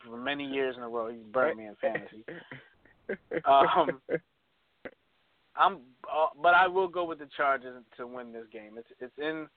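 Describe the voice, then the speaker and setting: A man hosting a casual sports radio show, picking games with animated restarts, his voice going hoarse. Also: medium pitch, moderate pace, pauses often. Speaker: sports talk show host. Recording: dry, tinny phone-like sound.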